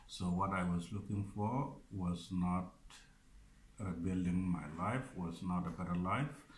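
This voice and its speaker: A man from South Sudan, in his forties, speaks in a very low voice, halting and earnest. He often repeats himself as he gathers his thoughts. The sound bounces off the bare walls of a small office, picked up by a camera a few feet away. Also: roomy sound. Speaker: operations manager of a resettlement group. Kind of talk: reflective interview answers. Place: small office room indoors.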